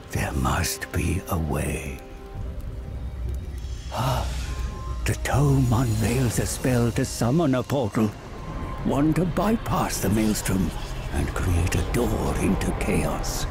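Grave, scheming old scholar, slow-spoken. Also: male voice, on the low side, exaggerated voice. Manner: grave, theatrical, deliberate. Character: weary, scheming old scholar cursed to serve a tome. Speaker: narrator and advisor character. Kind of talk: dramatic game cinematic narration.

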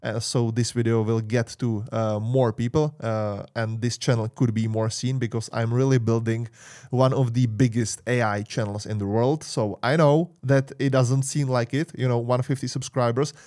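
A man's voice: mid-range voice, level tone, normal speed, a little mellow, no room sound, clean studio sound. A man in his thirties, a native Czech speaker with a Czech accent, hesitantly reading tech points aloud and adding casual asides. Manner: hesitant, many "uh" and "you know", reads points aloud then comments. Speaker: tech YouTuber. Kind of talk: screen-share tech review with asides. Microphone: studio mic on boom, webcam inset.

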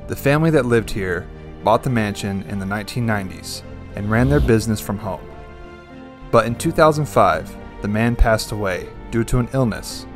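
A man reading a scripted voice-over narration in a steady, explanatory way. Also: lowish voice. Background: faint music bed.

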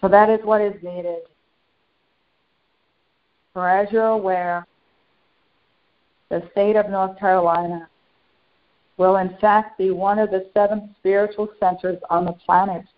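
A serene, benevolent ascended master, channeled by a woman leads a guided meditation. The phrases are short and unhurried, parted by long pauses, in a mid-pitched voice. The recording is dull and lo-fi. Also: steady tone, close to an everyday voice. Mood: serene, reverent. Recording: dull lo-fi sound.

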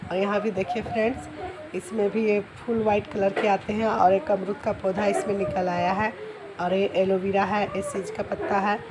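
A woman in her thirties from Jharkhand, India, her voice hoarse from a cold, chatting homely and direct to viewers as she vlogs at home and outdoors on a phone held at arm's length. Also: medium pitch, little pitch movement, moderate pace, crowd noise, noisy background. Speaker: vlogger. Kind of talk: casual vlog to camera. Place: home and nearby street, indoors and out.

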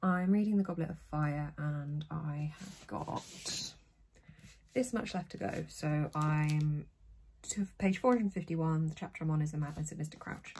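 A young woman with a low, soothing voice, chatting self-deprecatingly to camera from her bedroom. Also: measured pace, noisy background. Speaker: book vlogger. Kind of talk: casual chat to camera. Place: bright bedroom with bookshelves, indoors. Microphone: phone or camera at arm's length.

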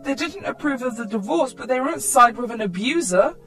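A woman's voice: a little high, animated, fairly quick, fairly bright tone, faint music behind. A woman narrator voicing an anxious, indignant young mother in a dramatic story, emotional and protesting. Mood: alarmed.

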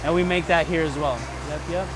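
A man's voice: fairly high pitch, steady tone, fairly quick. A male server with a Texan accent, telling a table about the desserts in a friendly way. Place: restaurant dining room. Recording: background noise.

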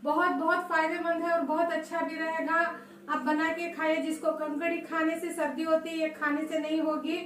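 A woman hosting a home cooking tutorial in her kitchen, chatty, often pausing to say "look" and give blessings. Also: very high-pitched, flat delivery. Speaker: cooking channel host.

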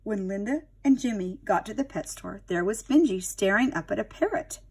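A woman reading a picture book aloud to young children in an expressive teacher's manner. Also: mid-range voice, moderate pace, husky. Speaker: storybook reader, teacher.

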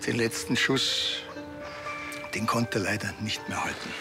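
An actor playing a dry, laconic Bavarian policeman in a TV crime comedy, with deadpan, terse delivery. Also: fairly low pitch, a little slow. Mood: dry, questioning. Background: background music.

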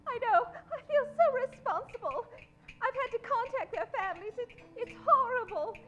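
A woman's voice: very high voice, animated, normal speed, bright, forceful tone, exaggerated voice. A woman playing a melodramatic, genteel lady dressmaker in a stage radio play. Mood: distressed. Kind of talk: old-time radio acting. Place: theatre stage. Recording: dull stage recording.

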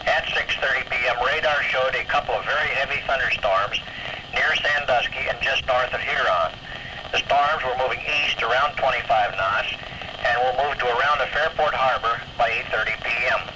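A man's voice: medium pitch, moderate pace. An American man reading a scripted marine weather warning as an announcer on an old radio broadcast. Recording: very noisy 1979 weather radio recording.

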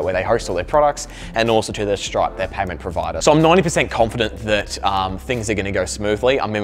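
A young man, a startup founder talking casually to his vlog. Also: lowish voice. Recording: reverberant space, faint music.

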